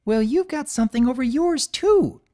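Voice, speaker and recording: A woman voicing a timid, excitable young filly unicorn with a squeaky, breathless voice in a dramatic reading. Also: normal speed. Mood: alarmed.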